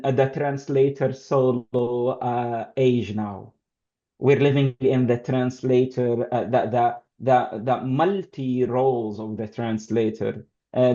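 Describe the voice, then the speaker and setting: A man with an Arabic accent answering as an expert panelist in a halting, deliberate manner with many pauses, over dull call audio. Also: medium pitch, mellow.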